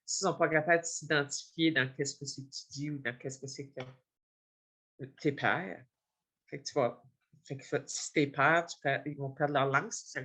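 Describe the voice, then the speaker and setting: A man's voice: fairly high pitch, bright, forceful tone. A man speaking earnestly in an interview, searching for his words. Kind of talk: casual interview talk.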